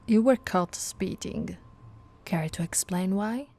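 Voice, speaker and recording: A woman playing a patient, teasing policewoman in an intimate ASMR roleplay, talking in a soft, coaxing, conversational way. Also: medium pitch, slow. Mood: gentle, coaxing. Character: flirty, patient, teasing policewoman reassuring a suspect.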